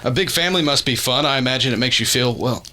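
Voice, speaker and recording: A man playing a steady, reassuring scientist in a radio drama, measured and explanatory in his delivery. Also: medium pitch, noisy background, lightly acted. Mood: calm, easy.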